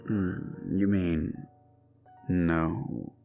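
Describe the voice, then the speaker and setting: A man voicing an unhinged, possessive young prince who speaks with soft-spoken menace and slips into whispers, over faint dark music. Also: low voice, drawn-out words, vocal fry, heavily acted. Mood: commanding. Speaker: voice actor. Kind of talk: audio roleplay drama.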